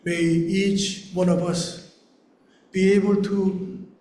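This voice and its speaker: A Korean-born male pastor in his sixties, delivering a sermon in a measured way, with phrases that trail off softly at the ends. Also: high voice, level tone, reverberant, rough recording. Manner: slow and deliberate in English, brisker in Korean.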